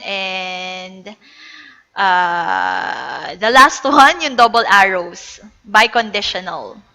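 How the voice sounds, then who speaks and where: A woman's voice: on the high side, bright, forceful tone, lo-fi sound. A woman with a Filipino accent giving a recorded lecture, explaining patiently and mixing English with Tagalog. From Philippines. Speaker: teacher. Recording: echoey reverb.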